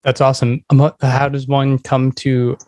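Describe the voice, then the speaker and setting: A man's voice: medium pitch, monotone, unhurried, darkish tone, no room sound. A man hosting an interview podcast in a casual, conversational style.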